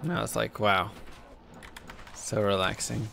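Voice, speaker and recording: A man with a deep voice talks slowly and easily, drifting from thought to thought as he plays. His voice has a gravelly edge. Faint typing and clicks sit behind him. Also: fairly even intonation, pushed and bright, a little hiss or hum. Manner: laid-back and rambling. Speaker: streamer. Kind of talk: casual chat while gaming.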